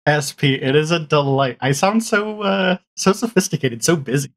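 A man's voice: on the high side, moderate pace, fairly bright tone. A man in his forties chatting casually as a podcast guest on a video call, jokey and self-deprecating. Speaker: guest, audio drama creator and podcaster. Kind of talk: casual chat. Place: small room indoors, video call.

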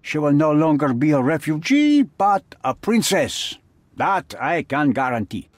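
A smug, scheming businessman from a video game. He is middle-aged and bargains with smooth theatrical flair. His husky voice sits slightly high and swings widely in pitch. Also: sing-song, unhurried, dry recording. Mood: smug bargaining. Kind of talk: video game cutscene acting.

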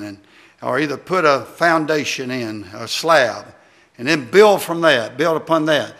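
Gravelly, bright-voiced older preacher man. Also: fairly high pitch. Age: 60s. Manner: folksy, halting delivery with amens. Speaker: visiting preacher, former pastor. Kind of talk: sermon. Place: church sanctuary at a wooden pulpit.

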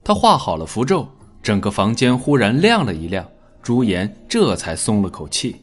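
A man narrating a dramatic scripted story in a measured, expressive storytelling manner. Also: on the low side, breathy edge. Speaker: audiobook narrator.

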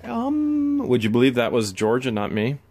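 A man's voice: medium pitch, wildly swooping pitch, moderate pace, heavy background noise. A man hosting an unboxing vlog, chatting casually and exclaiming over each find, recorded on a handheld camera in a garage. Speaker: vlog host unboxing storage finds.

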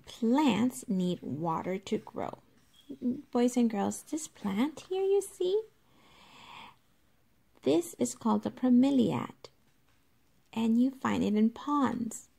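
A woman teacher reading a nonfiction book aloud to young children, explaining it in a deliberate, instructive way and addressing them directly. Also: on the high side, very animated, vocal fry, warm tone, slightly rough.

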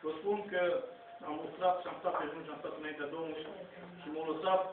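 A man's voice: a little high, steady tone, far from the mic. A middle-aged man giving a fervent, unscripted sermon in an earnest, exhorting, repetitive way, recorded from the congregation of a small church hall. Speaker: lay preacher. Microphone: distant handheld camera in the audience. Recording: tinny, noisy, echoey phone recording from afar.

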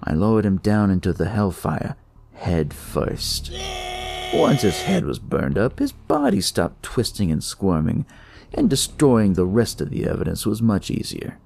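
A man's voice: lowish voice, wildly swooping pitch, measured pace, creaky, a little mellow. A man narrating a first-person noir horror story in a dramatic storytelling style.

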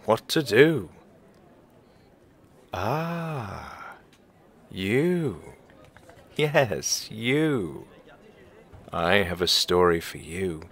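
A deep-voiced actor as a mysterious storyteller in an audio play. He speaks slowly and formally, with gentle, portentous wisdom. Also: very animated, gravelly, heavily acted. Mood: musing, enticing. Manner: measured, portentous, old-fashioned formal phrasing. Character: gentle, wise, mysterious male storyteller of Christmas tales. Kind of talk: audio drama acting.

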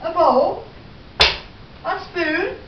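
A woman's voice: extremely high pitch, very slow. An older woman chatting casually as she walks through each step of making breakfast at home, humming along as she goes. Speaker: home vlogger. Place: home kitchen, indoors. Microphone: far camera on a tripod. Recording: echoey kitchen from far off, dull and noisy.

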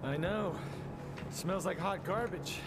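A man's voice: high-pitched, measured pace, loud music behind the voice. A man playing a middle-aged, laid-back demon hunter in a video game, swaggering and wisecracking. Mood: cool, dismissive. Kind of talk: video game cutscene voice acting. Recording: loud noise behind the voice.

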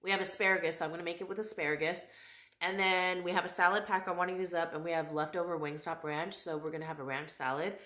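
A woman in her thirties, vlogging casually at home with a handheld camera, chatty and candid, her voice a little raspy from a cold. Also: lowish voice, fairly even intonation, fast, sharp tone. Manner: chatty, candid, laughs often. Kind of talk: casual vlog chat. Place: home kitchen and living room. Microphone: camera held at arm's length. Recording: echoey room.

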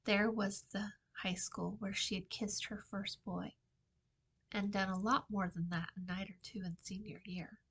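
Middle-aged woman, bright forceful voice, medium pitch, author reading aloud, measured dramatic storytelling. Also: flat delivery, husky. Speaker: author reading from own novel. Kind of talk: expressive storytime book reading. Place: small indoor room with shelving.

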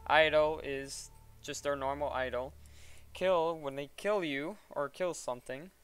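A man casually talking through a software tutorial step by step in an informal way. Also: on the high side, fairly even intonation, a little slow, smooth. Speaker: tutorial presenter. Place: voice-over at a computer.

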